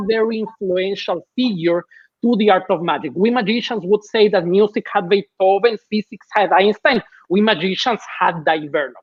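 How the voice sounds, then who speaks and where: A man's voice: high voice, dry recording, dull audio. Man. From Ecuador. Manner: slow, careful, with halting restarts. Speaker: magician guest. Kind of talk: live-stream interview.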